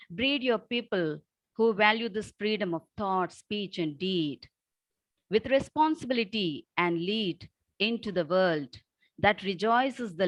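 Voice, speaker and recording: A woman's voice: mid-range voice, no echo, poor audio. A woman with a South Indian accent introducing and reciting poetry in an earnest, declamatory manner over a video call. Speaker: poet.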